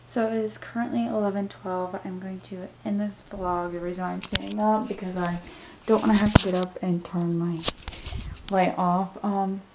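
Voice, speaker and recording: A young American woman talks to her camera in a loose, informal vlog style. Her voice sits at an average pitch. The sound is echoey, with clear background noise. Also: fairly even intonation, normal speed. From United States, Indiana. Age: young adult. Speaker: vlogger. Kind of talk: casual vlog chat. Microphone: camera microphone. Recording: roomy echo, noisy handheld camera audio.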